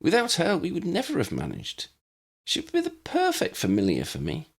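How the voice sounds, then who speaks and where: A man's voice: a little high, huge pitch swings, normal speed, voice pushed up, exaggerated voice. A man voicing an earnest young girl in an audiobook story. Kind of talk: audiobook character reading.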